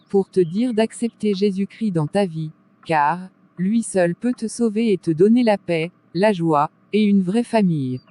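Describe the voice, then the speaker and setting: Cartoon drama role: actress as firm, devout young woman, soft mellow tone, earnest preaching manner. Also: medium pitch.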